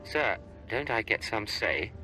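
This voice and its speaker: An actor playing a cool, sardonic secret agent in a video game, with a dry, wry delivery. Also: male voice, lowish voice, big pitch swings, takes it slowly, bright, forceful tone, faint music behind, exaggerated voice, poor audio. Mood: dry, casual.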